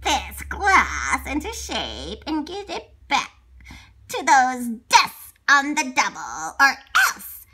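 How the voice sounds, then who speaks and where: A woman voicing a scary, bossy substitute teacher in a children's storybook, scratchy and slow with drawn-out threats. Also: sits high, wildly swooping pitch, bright, forceful tone, pitched above the performer's own voice, exaggerated voice. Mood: menacing.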